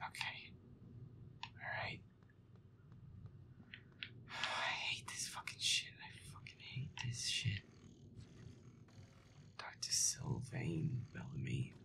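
Feisty, muttering boyfriend, played by a man, often whispering. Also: fairly low pitch. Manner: sarcastic, profane, muttering to himself. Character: feisty, foul-mouthed werewolf boyfriend grumbling through a game. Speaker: gamer playing a scary game. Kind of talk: roleplay audio gameplay commentary, muttering.

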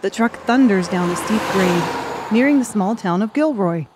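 A woman's voice: medium pitch, lively intonation, normal speed, noisy background. A woman reading scripted story narration for an audiobook.

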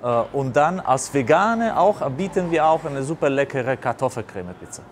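A man's voice: medium pitch, lively intonation, normal speed. A man in his forties from southern Italy with an Italian accent, a native Italian speaker answering interview questions and often searching for words. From Basilicata, southern Italy. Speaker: restaurant operator, interviewee. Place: indoors in a restaurant bar. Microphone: lapel mic. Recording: clear background noise, restaurant ambience.

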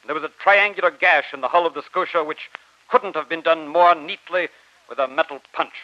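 An actor playing a stern, resolute navy commodore with an authoritative, declamatory manner in old-time radio drama. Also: male voice, on the high side, fairly quick, sharp tone, dry recording. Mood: grave. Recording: muffled dry old radio recording.

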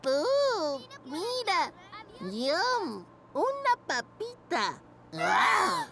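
A woman's voice: very high voice, huge pitch swings, drawn-out words, sharp tone, a little hiss or hum, full character voice. A woman voicing a hungry, teasing young boy animal classmate in a preschool cartoon, playful and whiny.

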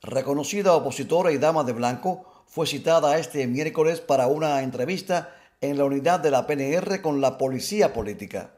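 A male reporter reading a formal, measured news voice-over. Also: fairly high pitch, a little mellow.

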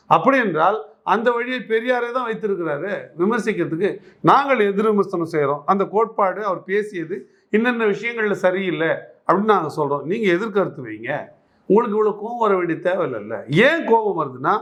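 High-pitched man arguing politics. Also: fairly bright tone, highs cut off. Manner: argumentative, emphatic, repeats phrases and poses rhetorical questions. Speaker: political commentator being interviewed. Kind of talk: political interview commentary.

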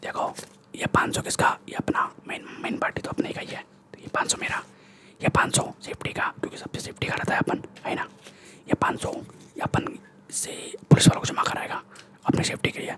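A man's voice: moderate pace, close to an everyday voice. Man acting a whispering Indian street gangster. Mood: smug, bossy. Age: young adult. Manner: street slang, bossy and boastful. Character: cocky, threatening Indian street gangster, small-time extortionist. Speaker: ASMR performer. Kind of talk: whispered ASMR roleplay. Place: small room indoors. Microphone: close-up large desktop microphone.

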